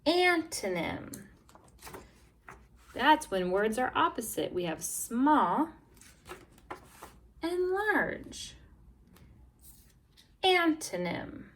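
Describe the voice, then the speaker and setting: A woman teaches young language learners through a picture-book read-aloud, speaking very slowly and deliberately. Her highish voice swings up and down with exaggerated storytelling expression. She stresses key words and encourages listeners to answer along. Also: sing-song, a bit bright, a little hiss or hum. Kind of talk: read-aloud lesson for young children, with questions and prompts.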